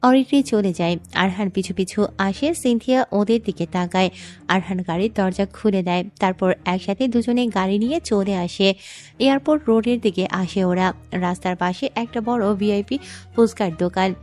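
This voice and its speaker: A woman's voice: medium pitch, moderate pace, darkish tone. A woman reading scripted story narration as the narrator of an audio drama. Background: music.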